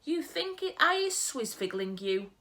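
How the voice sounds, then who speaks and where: A woman's voice: sits high, big pitch swings, unhurried, pushed and bright, voice pushed up, full character voice. A woman voicing a kindly, earnest big friendly giant who talks in quirky broken grammar.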